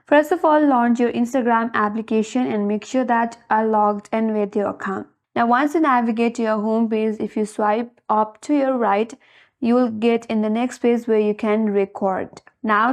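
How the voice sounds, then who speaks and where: A woman with a fairly high voice narrates a how-to tutorial. She works from a script as the host, giving plain instructions one step at a time. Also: darkish tone.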